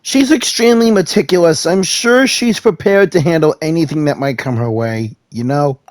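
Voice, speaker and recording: A man casually reacting and reading lines aloud during a video game playthrough, animated and joking. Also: on the high side, normal speed, no room sound. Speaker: gaming streamer.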